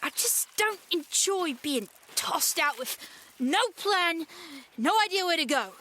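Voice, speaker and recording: A woman's voice: very high-pitched, animated, measured pace, sharp tone, exaggerated voice. A woman playing an anxious, cautious child runaway in an audio drama, emphatic and easily exasperated. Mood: indignant.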